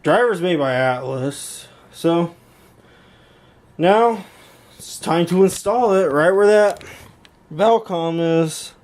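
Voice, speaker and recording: A man with a somewhat high-pitched voice narrates a casual hobby demonstration. He rambles and tends to repeat himself. He speaks from behind a handheld camera in a room at home. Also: slow, pauses often, a little mellow. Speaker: YouTube hobbyist demonstrating intercom gear. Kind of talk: casual hands-on vlog narration.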